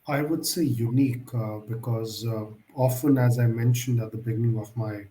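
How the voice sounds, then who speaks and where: A male interview host who speaks in a formal, elaborate way, often hedging with "I would say." His voice is dark and mellow. It is recorded in an echoey room. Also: medium pitch, fairly even intonation, moderate pace. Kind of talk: interview questions.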